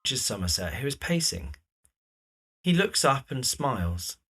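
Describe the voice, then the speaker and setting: A man's voice: mid-range voice, sing-song, a little slow, fairly bright tone, husky. A man reading scripted present-tense narration for an audio drama.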